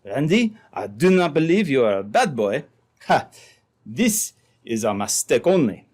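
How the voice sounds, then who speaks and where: Radio drama trapper, male actor: French accent, earnest and insistent, very lively intonation, stilted dramatic delivery. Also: medium pitch, measured pace. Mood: reproachful. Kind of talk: old-time radio drama acting.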